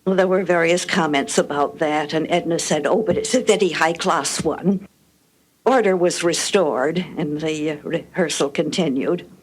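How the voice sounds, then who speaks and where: An older woman with a low voice, reminiscing about radio production in a measured, articulate, dryly humorous way. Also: husky, poor audio. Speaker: guest recalling radio production history. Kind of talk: reminiscing anecdotes.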